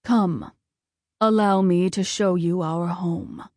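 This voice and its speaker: Woman voicing a slow, solemn god of war. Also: lowish voice, steady tone, darkish tone, no echo, clean studio sound. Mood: grave, measured. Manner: formal, solemn, measured. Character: commanding, cold, solemn male war god and father.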